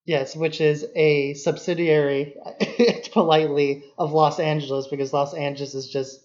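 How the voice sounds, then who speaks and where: A man's voice: on the high side, level tone, moderate pace, darkish tone. A man co-hosting a podcast, explaining things about film in a casual, loosely worded way. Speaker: podcast co-host. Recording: dull, lo-fi podcast audio.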